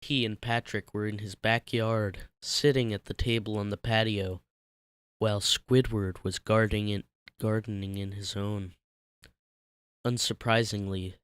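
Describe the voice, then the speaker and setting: Male narrator for dramatic fan fiction: clean-toned, fairly low, close and dry sound. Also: clean tone, no room sound.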